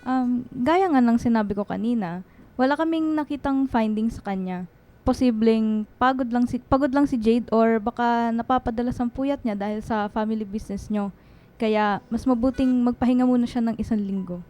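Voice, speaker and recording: A woman playing a calm, professional doctor in a radio drama. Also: a little high, moderate pace, natural voice. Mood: calm, explaining.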